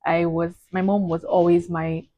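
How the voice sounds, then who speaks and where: A woman's voice: on the low side, flat delivery, moderate pace, dry recording, highs cut off. A woman in her twenties from Eastern Nigeria, chatting as an interview guest and laughing often. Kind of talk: casual interview chat.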